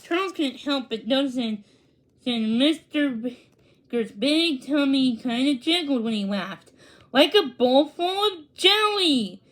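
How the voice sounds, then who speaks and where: A woman reading a children's storybook aloud, haltingly, stumbling over words and correcting herself. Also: high voice, lively intonation, fairly bright tone. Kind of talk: read-aloud storybook narration.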